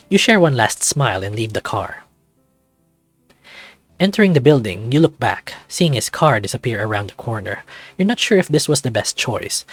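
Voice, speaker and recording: Casual male let's-read host, medium pitch, halting delivery, dry recording with faint music behind. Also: breathy edge, no room sound. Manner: hesitant, halting when giving own thoughts. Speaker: host of a visual novel read-aloud series. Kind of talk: casual commentary and narrated read-aloud.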